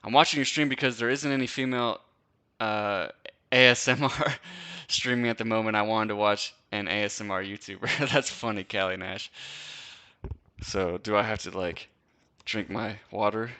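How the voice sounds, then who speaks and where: A young man from Wisconsin hosts a casual live-stream Q&A, his voice bright and crisp and pitched a little low. He talks loosely and slangily, tossing in "dude" and "bro" and laughing often. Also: fairly even intonation, moderate pace. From Wisconsin, USA. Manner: laid-back slangy chat, lots of "dude" and "bro", frequent laughs. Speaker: host.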